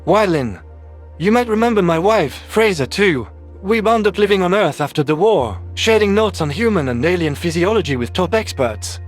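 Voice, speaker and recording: A man's voice: high-pitched, expressive, moderate pace, faint music behind. A man voicing an earnest male four-legged alien doctor who explains things carefully in an audio story. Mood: friendly.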